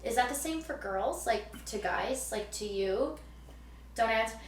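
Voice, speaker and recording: A woman chatting casually as a guest, reacting quickly and filling her talk with "like". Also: a little high, animated. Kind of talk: casual chat. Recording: roomy sound with faint noise.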